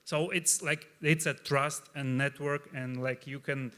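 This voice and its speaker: Halting man with a Russian accent. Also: medium pitch, steady tone, bright, forceful tone. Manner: halting, searching for words, many fillers. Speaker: startup founder on a panel. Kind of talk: live panel discussion.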